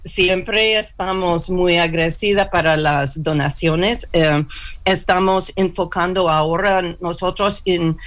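Slow, hesitant woman searching for words. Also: fairly low pitch. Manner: hesitant, many fillers and restarts, searching for words. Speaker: interview guest, clinic worker. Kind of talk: halting conversational interview.

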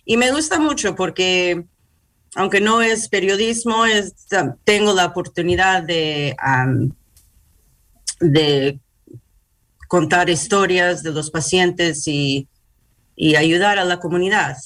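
Slow, halting bilingual woman in an interview. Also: fairly low pitch, fairly bright tone. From New York, United States. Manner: halting, searching for words in Spanish, slips into English words. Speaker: hospital public relations manager, interview guest. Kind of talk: interview answers.

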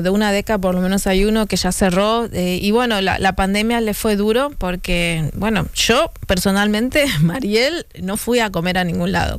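Woman radio host, interviewer; smooth, clear voice, dry close sound. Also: medium pitch, no echo. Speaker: radio and live stream host.

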